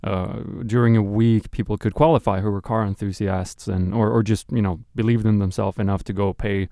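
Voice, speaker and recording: A middle-aged man with a Swedish accent talks through his racing past in a relaxed, unhurried way, pausing and restarting as he thinks. His voice sits fairly low and sounds smooth and clear. The recording is close and dry, with no room sound. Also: dry recording. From Sweden. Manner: relaxed, thoughtful storytelling with many restarts. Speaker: racing driver guest. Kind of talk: casual podcast chat.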